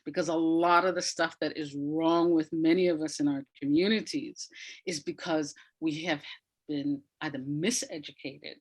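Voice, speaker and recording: A woman's voice: deep voice, steady tone, moderate pace. A woman speaking conversationally as an interview guest, an educator who repeats phrases for emphasis.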